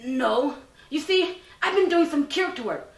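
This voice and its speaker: Brief: woman, high bright voice, gruff comic delivery, recorded far off in a roomy space. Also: animated, moderate pace. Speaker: performer in a student comedy. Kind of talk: comic acting of fairy-tale figures. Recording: roomy, distant mic.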